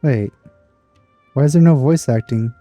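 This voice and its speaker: A man casually commenting while playing a video game, relaxed and musing, quick to laugh. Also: mid-range voice, dry recording, faint music behind. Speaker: gaming video host. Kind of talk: casual let's-play commentary.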